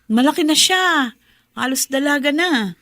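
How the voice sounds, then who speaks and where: A woman's voice: high-pitched, animated, measured pace, pushed and bright, full character voice. An actress playing a mother working abroad in a radio drama, emotional and pleading. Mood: friendly.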